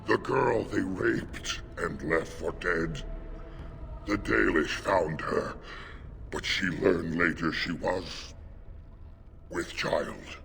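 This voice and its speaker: An actor playing a savage, tormented werewolf pack leader in a fantasy video game, with a snarling, intense voice. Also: male voice, low voice, gravelly, a bit bright, slight background noise, heavily acted. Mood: bitter grief. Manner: slow, brooding, intense.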